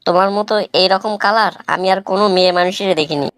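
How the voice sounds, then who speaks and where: A woman playing a placating, boastful husband in a comic cartoon, coaxing and flattering with a rural Bangladeshi accent. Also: mid-range voice, quick pace, no echo, lightly acted. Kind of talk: melodramatic comic cartoon dialogue.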